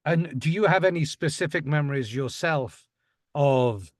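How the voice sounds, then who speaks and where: A man's voice: on the high side, measured pace. A male interviewer asking short, probing questions.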